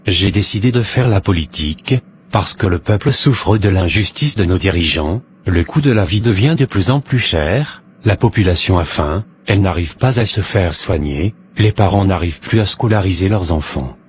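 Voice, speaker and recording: An actor playing an earnest, self-righteous businessman running for parliament in an animated drama, speaking formally in speeches. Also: low-pitched, moderate pace, a little hiss or hum. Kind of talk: animated drama dialogue, political interview answers.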